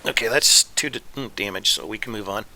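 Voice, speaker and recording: A man's voice: lowish voice, sharp tone. A man giving casual gameplay commentary, muttering to himself as he plays, with a phlegmy, congested voice. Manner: muttering to himself while playing, loose and unhurried. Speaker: gaming YouTuber.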